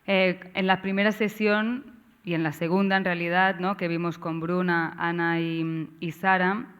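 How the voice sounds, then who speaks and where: A woman with a Catalan accent, whose first language is Catalan, opens a seminar session as its organizer, talking haltingly with frequent tag questions and restarts. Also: lowish voice, flat delivery, clean tone, highs cut off. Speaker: course organizer and moderator. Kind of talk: seminar introduction.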